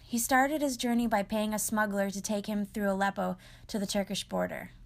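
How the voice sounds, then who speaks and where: Woman narrating, gravelly edge. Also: mid-range voice, fairly even intonation, fairly quick. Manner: clear, read narration; short encouraging prompts. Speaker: host and interviewer. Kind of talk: scripted narration and casual interviewing.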